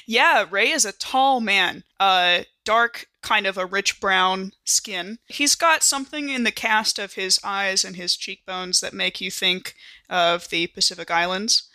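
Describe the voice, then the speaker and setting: A woman's voice: medium pitch, a little slow, sharp tone, dry recording. A woman speaking casually and enthusiastically as a player in a tabletop role-playing game, improvising narration in an informal, chatty way.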